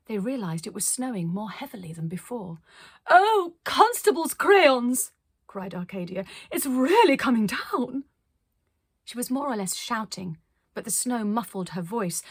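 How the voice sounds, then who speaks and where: A woman voicing a flamboyant, excitable woman artist with a high, breathy, theatrical voice in a children's storybook reading. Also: dry recording, studio quality. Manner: overdramatic, calls friends pet names.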